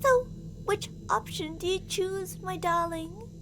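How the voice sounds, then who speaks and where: A woman's voice: very high voice, slow pace, a bit bright, faint music behind, exaggerated voice. A woman playing an obsessively jealous, murderous stalker lover in an ASMR roleplay, theatrical and possessive, swinging between coaxing and menace. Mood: cold menace. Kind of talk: ASMR girlfriend roleplay acting. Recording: audible background noise.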